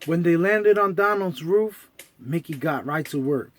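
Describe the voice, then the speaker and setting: A man with a slightly high voice reads a children's story. He narrates expressively, as a storyteller does for young listeners. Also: animated, a little slow, fairly bright tone.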